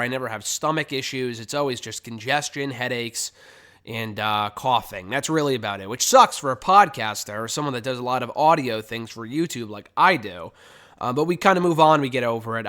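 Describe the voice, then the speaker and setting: A man's voice: medium pitch, fairly bright tone. A man in his twenties hosting his own web show, sounding congested from a cold, chatting in a rambling way and swearing casually. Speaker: podcast host. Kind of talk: casual solo webcast.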